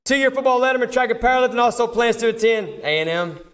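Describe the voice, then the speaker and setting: A man's voice: extremely high pitch, expressive, fast talker. A man speaking as a coach at an award ceremony, informally reading out a roster to the audience. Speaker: football coach presenting awards. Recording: live event, crowd noise, clear background noise.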